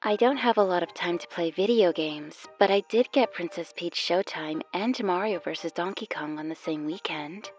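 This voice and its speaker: A woman's voice: on the low side, normal speed, few pauses, faint music behind, close to an everyday voice. A woman voicing a serene, motherly space princess in a fan cartoon, speaking politely with refined, composed diction. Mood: gentle.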